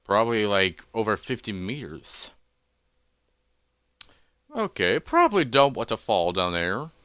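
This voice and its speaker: A man voicing a calm humanoid elephant adventurer who mutters casually and thoughtfully to himself in a story. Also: lowish voice, measured pace, smooth, no echo, studio quality, heavily acted. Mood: musing, mild.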